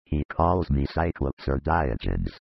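A man voicing a gruff, wary older male villager in an audiobook, with a very deep, gravelly, husky voice and a slow, grudging way of talking. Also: very animated, no echo, heavily acted. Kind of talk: audiobook character voice. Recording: dull lo-fi dry recording.

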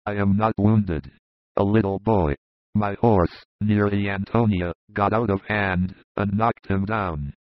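A man reading aloud and voicing a rough, desperate Roman soldier in a lower, harsh voice. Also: fairly even intonation, unhurried, dry recording, close to an everyday voice. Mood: urgent. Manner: harsh, insulting, urgent. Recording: dull lo-fi dry recording.

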